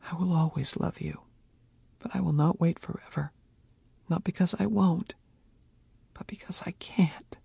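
A man's voice: high-pitched, expressive, moderate pace, gravelly, darkish tone, husky, no room sound, pitched above the performer's own voice, full character voice. A man reading an audiobook, voicing a heartbroken young woman who pleads tearfully and flares into anger. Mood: resigned. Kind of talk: audiobook character voice.

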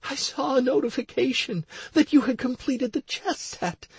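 A man's voice: extremely high pitch, moderate pace, creaky, slightly rough, no room sound, voice pushed up, exaggerated voice. A man voicing an anxious, tearful wife in an audiobook, pleading urgently and emotionally. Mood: tearful, frantic. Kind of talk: audiobook character dialogue.

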